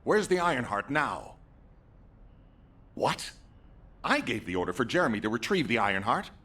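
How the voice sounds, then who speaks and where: An actor playing a stern, impatient military commander in a video game, authoritative, with a gravelly voice. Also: male voice, mid-range voice, sing-song, moderate pace, pushed and bright, full character voice. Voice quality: gravelly, husky. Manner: authoritative, clipped.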